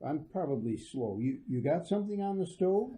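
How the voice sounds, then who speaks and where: A man playing an affable suburban husband and father in an old-fashioned radio drama, casual, folksy and gently concerned. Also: a little high, big pitch swings, normal speed, poor audio.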